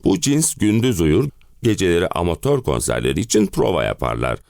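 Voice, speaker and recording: A man's voice: sits low, big pitch swings, fairly quick, vocal fry, darkish tone, no room sound, clean studio sound, full character voice. A male actor playing an exasperated, self-pitying middle-aged husband in a theatrical monologue, with melodramatic, comic complaining. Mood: aggrieved complaint. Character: exasperated, hen-pecked middle-aged husband ranting about summer-house misery. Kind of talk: theatrical one-man play monologue.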